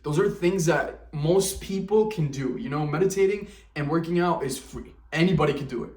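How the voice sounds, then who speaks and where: A man in his twenties talking candidly and haltingly in a confessional vlog, restarting often. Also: fairly high pitch, a little mellow, far from the mic. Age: 20s. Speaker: vlogger. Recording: roomy, from the mic.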